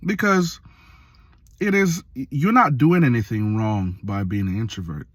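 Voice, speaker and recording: A man in his late thirties, from Jamaica, giving a reflective casual monologue for his video channel, slow and full of pauses and fillers. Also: mid-range voice, no room sound, studio quality. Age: 30s. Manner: slow, pausing often with fillers. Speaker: vlogger.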